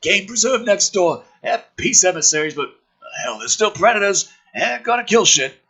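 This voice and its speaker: A man's voice: sits high, animated, normal speed, pushed and bright, slightly rough, voice pushed up, full character voice. A man voicing a smug, chatty, foul-mouthed government operative in a mocking script read, with flippant, exaggerated emphasis.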